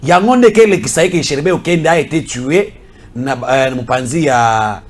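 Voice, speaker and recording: A man's voice: mid-range voice, moderate pace. A Congolese man in a heated political talk, speaking emphatically and insistently with many repetitions. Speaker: political commentator. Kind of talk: heated political talk mixing French and Lingala.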